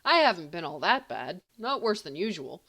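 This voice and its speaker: Audiobook role: flippant teenage boy played by a woman, easily wounded, mock-grand, swooping expressive delivery. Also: lowish voice, normal speed, exaggerated voice. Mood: protesting. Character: brash, wounded, teasing teenage schoolboy.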